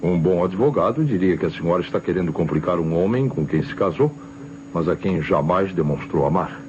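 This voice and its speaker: Deep-voiced Brazilian police inspector, old noisy radio. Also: male voice, lively intonation, a little fast. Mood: stern, accusing. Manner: insistent, pointed questioning. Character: stern, suspicious male police inspector interrogating suspects. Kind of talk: old Brazilian radio detective drama acting. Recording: muffled old radio recording, loud noise.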